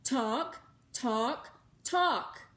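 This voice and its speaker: A woman reading as an excitable, impatient school-age girl, eager and emphatic, repeating her words. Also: a little high, drawn-out words, reverberant, exaggerated voice. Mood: insistent. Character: excitable, impatient school-age girl narrator who speaks through a word board.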